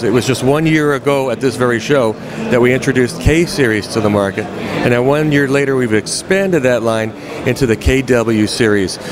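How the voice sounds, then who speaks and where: A man in his forties with an American accent, giving a product demo to camera as a company presenter. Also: medium pitch. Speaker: company product director presenting at a trade show. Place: large indoor trade show hall. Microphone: handheld microphone close to mouth. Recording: busy trade show crowd noise. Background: clear crowd bustle.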